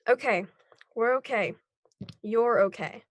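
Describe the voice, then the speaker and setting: A woman playing a calm, reassuring superhero team leader in a table read of a scripted drama. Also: on the high side, slow, dry recording. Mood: gently soothing.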